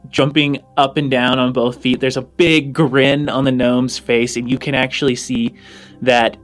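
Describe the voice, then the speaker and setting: A man narrating an improvised tabletop game as game master, descriptive and halting. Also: medium pitch.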